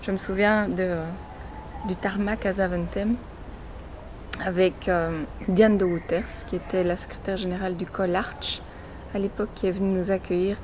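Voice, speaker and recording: A woman in her forties with a Belgian French accent, giving personal testimony in an interview in a measured, hesitant way with pauses. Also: mid-range voice, flat delivery, darkish tone, a little hiss or hum. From born in Chile, grew up in Belgium from age four. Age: 40s. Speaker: interviewee telling her family's migration story.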